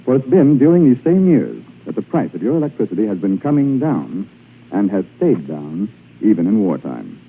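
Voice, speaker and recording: A man with an American accent announcing formally from a script in a measured, promotional 1940s radio style. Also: fairly low pitch, big pitch swings, creaky, slight background noise. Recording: tinny 1940s radio broadcast, music behind.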